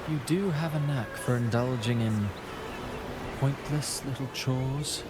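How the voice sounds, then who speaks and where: Man playing a slow, grandiose theatrical villain. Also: mid-range voice, very noisy, natural voice. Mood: suave, condescending. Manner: measured, theatrical, pompous. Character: suave, grandiose philosophical male villain playwright. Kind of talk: video game voice acting. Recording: loud music behind the voice. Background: loud game music.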